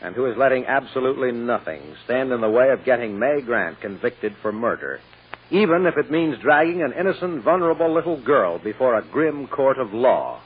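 A man's voice: mid-range voice, sing-song, normal speed, breathy edge. A man narrating an old radio serial as its solemn, dramatic announcer. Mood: grave. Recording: muffled, noisy old radio recording.

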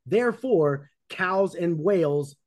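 A man's voice: sits high, expressive, slow, darkish tone. Skeptical man pushing back firmly. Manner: firm, skeptical, interjects. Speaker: debater. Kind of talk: live debate argument.

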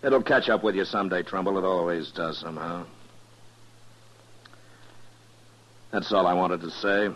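An actor playing a stern, laconic Old West marshal in a 1950s American radio western, speaking in a measured way. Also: male voice, low voice, a bit bright, poor audio. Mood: grave.

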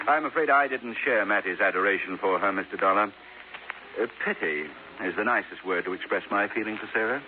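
A man's voice: fairly low pitch, brisk, narrow, tinny audio, lightly acted. A man playing a haughty, evasive former movie star in a 1950s radio drama, theatrical and amused in his condescension. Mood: smug, evasive. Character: vain, disdainful male former film star, smooth evasive suspect. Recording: thin, hissy 1950s radio recording.

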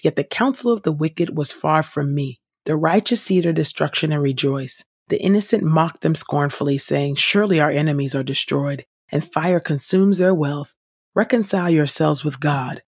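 A woman reading scripture aloud for a devotional podcast in a measured, deliberate, formal way. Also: low voice, a little mellow, breathy edge, no echo, studio quality. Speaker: scripture reader and podcast host.

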